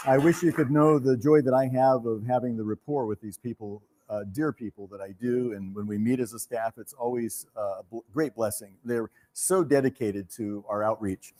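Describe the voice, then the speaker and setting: A man giving a live thank-you speech as a company leader, earnest and measured, with pauses. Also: mid-range voice, mellow. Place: theater, live before an audience.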